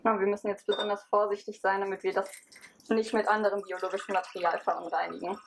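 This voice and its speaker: A woman's voice: mid-range voice, little pitch movement, quick pace. A woman presenting as a scientist in an educational film, talking through steps in semi-scripted dialogue. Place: laboratory. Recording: faint background noise with clicks.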